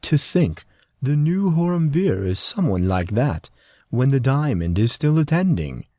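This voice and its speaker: A man's voice: medium pitch, very animated, measured pace, dark tone, no echo, clean studio sound, pitched above the performer's own voice. A man voicing gossiping, disapproving parents in an audio drama, conspiratorial and scandalised.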